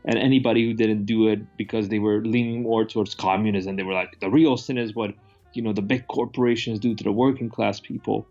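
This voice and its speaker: A man reviews films in a loose, rambling chat. His voice has a creaky, gravelly edge and he is opinionated and casually profane. The audio is slightly dull, with music playing underneath. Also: fairly low pitch, steady tone, fairly quick, a little mellow. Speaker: movie review host.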